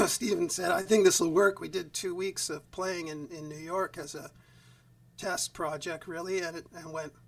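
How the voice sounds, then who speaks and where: A man is talking on a video call as a guest musician. His voice is quiet and level, and it sits fairly high. He sounds a bit distant, as if he is some way back from the mic. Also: normal speed, vocal fry. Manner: quiet, even speaking voice. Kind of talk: casual interview chat.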